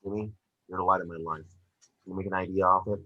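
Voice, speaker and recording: A man acting a brisk, terse, commanding federal agent boss in a table read. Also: deep voice, steady tone, highs cut off, close to an everyday voice.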